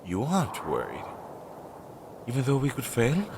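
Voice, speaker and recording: A man's voice: huge pitch swings, moderate pace. A man playing an anxious, insecure but tender crow familiar in an audio roleplay, talking to the listener in a hesitant, earnest way. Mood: worried. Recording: clearly noisy low-quality recording.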